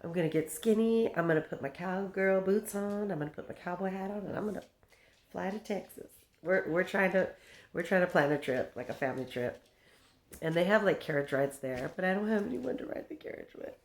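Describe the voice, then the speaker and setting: A woman's voice: on the low side, expressive, fairly quick. A woman in her fifties rambling casually to her viewers, laughing often and drifting from story to story. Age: 50s. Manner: chatty, laughs often, drifts between stories, baby-talks to her dog. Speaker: vlogger. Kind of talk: rambling casual chat to camera.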